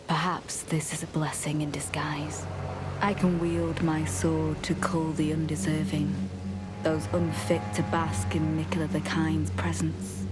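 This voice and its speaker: A low-voiced, devout knight, coldly resolute. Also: female voice. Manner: formal, measured, archaic diction. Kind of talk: dramatic fantasy game acting. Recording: loud music behind the voice. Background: game music.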